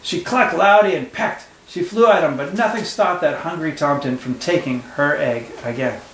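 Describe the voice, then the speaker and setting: A man, a teacher, reading a picture book aloud to children with expressive storytelling and friendly asides. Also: fairly high pitch, normal speed, slight background noise. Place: room at home. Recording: echoey room with faint ambience.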